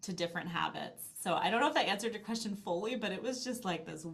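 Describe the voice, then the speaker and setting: A woman's voice: mid-range voice, expressive, fast, creaky. A middle-aged woman talking casually as an interview guest, telling stories in a chatty, self-deprecating way. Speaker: podcast guest, language-learning business owner. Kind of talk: casual interview conversation, anecdotal.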